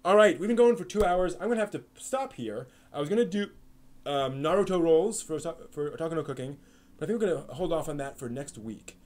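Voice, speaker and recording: A man hosting a casual live stream who thinks aloud and rambles, with many pauses, ums and restarts. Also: on the high side, animated, a little mellow. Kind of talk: casual live-stream chat answering chat questions.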